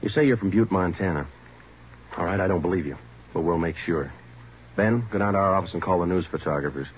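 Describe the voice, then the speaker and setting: An actor playing a terse, matter-of-fact detective sergeant in a 1940s radio crime drama, with a clipped, deadpan delivery. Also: male voice, deep voice, fast talker, lightly acted. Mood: stern. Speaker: narrator and lead actor. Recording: dull, noisy old radio recording.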